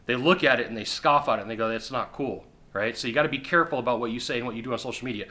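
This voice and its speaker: A middle-aged man giving sales advice straight to the camera from a sofa indoors, emphatic and repetitive, with quick acted-out asides. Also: medium pitch, bright, forceful tone. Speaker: sales coach. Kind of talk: direct-to-camera advice talk. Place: indoor living room on a sofa.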